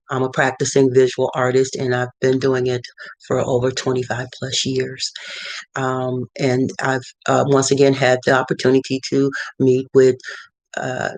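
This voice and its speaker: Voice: mid-range voice, dry recording. A middle-aged visual artist giving casual advice from a cluttered home studio, talking in a rambling way with many fillers and restarts. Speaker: visual artist and art consultant.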